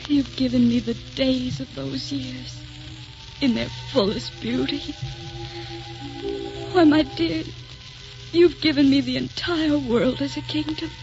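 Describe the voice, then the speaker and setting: An actress playing a frail, dying young wife, devoted and dreamy, with tender, wistful 1940s radio drama delivery. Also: female voice, high voice, fairly even intonation, slow pace, long pauses. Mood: adoring. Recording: muffled old 1940s radio recording, music behind.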